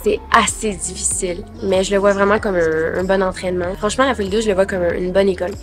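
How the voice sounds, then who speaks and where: A professional singer from Montreal with a Quebec French accent, talking about her workday in a relaxed conversational way. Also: medium pitch, fast talker, soft background music. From Canada, Montreal. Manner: relaxed, conversational, some fillers. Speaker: singer and artistic manager. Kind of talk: interview answers.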